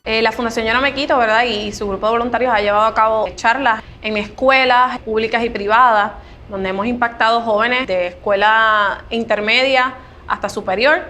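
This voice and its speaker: A woman's voice: on the high side, steady tone, moderate pace, sharp tone. A woman with a Puerto Rican accent explaining as a foundation member in an interview, adding little tag questions.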